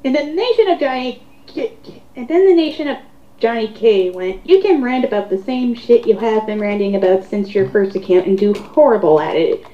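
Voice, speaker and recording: A woman's voice: a little high, expressive, moderate pace. A woman co-hosting a casual commentary, reading online comments aloud and remarking on them. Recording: roomy, dull lo-fi home recording.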